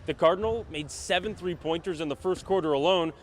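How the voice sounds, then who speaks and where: A man's voice: fairly high pitch, a little fast, slight background noise. A man working as a sports reporter and play-by-play announcer, delivering scripted reports and game commentary.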